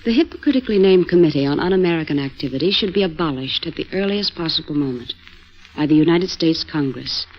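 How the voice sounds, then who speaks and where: A woman's voice: deep voice, lively intonation, fast talker. A woman giving a solemn, declamatory reading of a newspaper editorial in 1940s radio style. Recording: muffled vintage 1940s radio recording.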